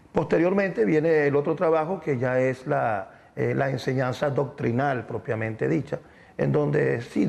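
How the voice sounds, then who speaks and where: Guy w creaky voice, community spokesman, explains stuff haltingly in interview. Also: medium pitch, darkish tone. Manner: halting, measured explaining, repeats words.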